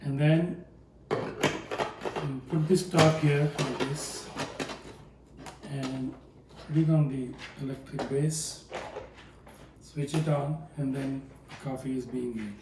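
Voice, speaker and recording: Soft-spoken older man from South India. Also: mid-range voice, steady tone. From Palakkad, South India. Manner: slow, soft-spoken, hesitant with fillers. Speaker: guest, home cook. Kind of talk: casual demonstration chat. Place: home kitchen. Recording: echoey room from a distance, faint noise.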